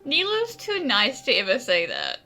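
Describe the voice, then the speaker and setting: A woman comments casually on a game she is playing. Her voice is high and bright, with lively, playful swings in pitch. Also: moderate pace, faint music behind. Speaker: gaming streamer reacting to a game.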